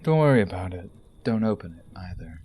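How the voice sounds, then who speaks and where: An actor playing a smug, menacing blackmailer in an audio drama, controlled and threatening. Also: male voice, low-pitched, animated, brisk, dark tone, slightly rough. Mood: quietly threatening. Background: prominent music.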